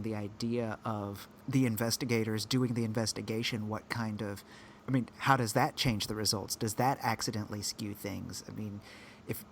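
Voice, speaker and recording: A man co-hosting a podcast in casual chat, measured and given to wry asides. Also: mid-range voice, a little hiss or hum. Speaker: podcast co-host.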